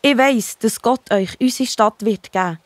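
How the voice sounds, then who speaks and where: A woman's voice: fairly high pitch, measured pace, no room sound. A woman with a Swiss German accent playing a brave, cautious townswoman who helps fugitives in a children's Bible audio drama. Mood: urgent, pleading. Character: Rahab, brave, helpful townswoman sheltering spies.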